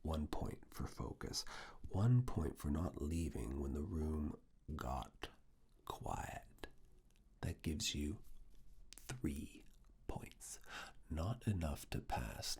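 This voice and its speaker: Male ASMR performer, professor role: extremely low, whispered, gravelly, with deliberate, teasingly stern and intimate delivery. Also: very animated, darkish tone. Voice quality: breathy whisper mixed with very low creaky murmur. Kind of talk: ASMR personal attention roleplay.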